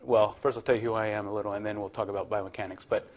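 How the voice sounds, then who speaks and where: A man in his thirties from Virginia giving a relaxed, chatty university lecture to a classroom, with plenty of ums and uhs. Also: on the low side, racing. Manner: relaxed, chatty, filler-heavy.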